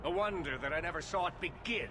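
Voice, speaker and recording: An actor playing a proud, indignant leader of mages in a fantasy game, with a formal, theatrical delivery. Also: male voice, a little high, quick pace, noisy background, lightly acted. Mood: scornful. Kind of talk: dramatic fantasy game acting.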